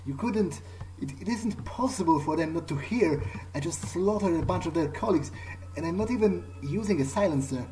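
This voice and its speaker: A man's voice: high-pitched, animated, a little fast, hardly any pauses, noisy background. A man doing in-character game commentary, thinking aloud in a musing, hesitant way. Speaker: gamer playing in character.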